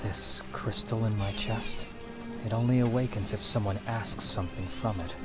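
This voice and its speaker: An actor playing an earnest, reassuring young hero in a video game cutscene, informal and determined, with music behind him. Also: male voice, fairly low pitch, steady tone, moderate pace, lightly acted.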